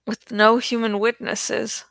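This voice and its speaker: A woman narrates adventure fiction with expressive suspense. Her voice is bright and smooth, a little gravelly at the edges. She takes her time with each line. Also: on the high side, slow, no echo. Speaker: audiobook reader. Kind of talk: dramatic scripted narration of a young adult novel.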